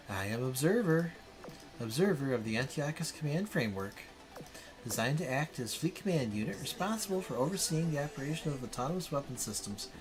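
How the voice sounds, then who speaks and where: Clinical machine mind read by a man, measured and formal, brooding on its existence; game visual novel, music underneath. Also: medium pitch, close to an everyday voice, low-quality recording. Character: female machine intelligence, clinical, doubting its own existence.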